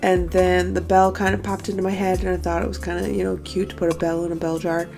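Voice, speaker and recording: A young woman with a hoarse, stuffy morning voice, chatting casually and self-critically about her own paintings in an art vlog. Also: lowish voice, little pitch movement, quick pace, music in the background. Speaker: art vlogger. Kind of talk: casual talking-head recap.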